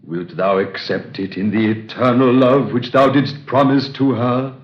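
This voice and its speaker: Brief: a man as a solemn ancient god of the dead, very slow and grave, old-fashioned speech, dull echoey recording. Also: medium pitch, steady tone, close to an everyday voice. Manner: archaic, grave, stately delivery. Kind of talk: 1930s radio drama acting. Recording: dull roomy 1930s radio recording.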